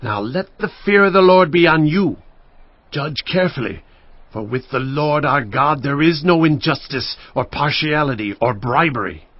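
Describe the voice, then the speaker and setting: A man's voice: on the high side, lively intonation, moderate pace, no room sound. An actor playing an earnest ancient king who gives solemn commands and pleads in prayer, in a dramatized scripture recording. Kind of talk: dramatized scripture audio acting. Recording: dull lo-fi with music bed.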